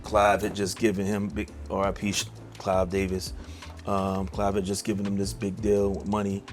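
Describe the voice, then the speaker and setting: A middle-aged man speaks in a low, easygoing voice, full of "you know" and restarts. He tells his story in a relaxed, conversational way. Soft music plays faintly beneath him. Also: normal speed. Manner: laid-back, many fillers and restarts. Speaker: songwriter guest. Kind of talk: casual interview talk.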